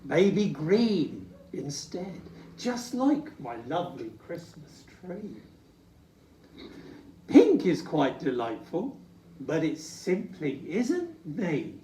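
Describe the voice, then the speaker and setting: A fussy old Santa from a picture book, as a reader puts him on, lamenting in sing-song rhyme. He is unhurried and swooping, with a sighing manner, heard in a roomy space. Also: medium pitch, very animated, dark tone, slight background noise. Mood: fretful. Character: troubled, fussy old Santa, bored with red. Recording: echoey room, distant from mic.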